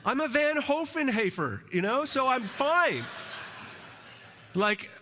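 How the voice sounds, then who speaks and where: A man's voice: very high voice, sing-song, takes it slowly, bright, forceful tone, long reverb tail, pitched above the performer's own voice, heavily acted. A man voicing a smug, complacent churchgoing man in an exaggerated singsong.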